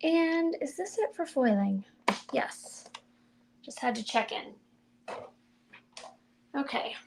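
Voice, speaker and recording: A woman with a slightly high, gravelly voice talks slowly and mildly as she demonstrates card-making on a stream. She thinks aloud in a casual, chatty way as she works. Faint clicks from her tools can be heard behind her. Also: lively intonation, background noise. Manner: chatty, mild and soft-spoken, thinking aloud while working. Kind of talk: casual crafting livestream chat.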